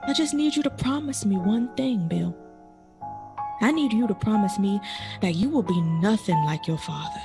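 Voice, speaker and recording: A woman's voice: mid-range voice, lively intonation, moderate pace, vocal fry, over music. A woman acting in an audio drama as a loving, insistent, long-suffering middle-aged mother of a grown son. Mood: pleading, firm.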